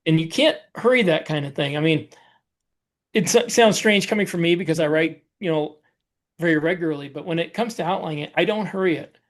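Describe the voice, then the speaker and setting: A man's voice: a little high, brisk. A man co-hosting a casual podcast, explaining how he works and often restating his phrases as he goes. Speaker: podcast co-host, novelist.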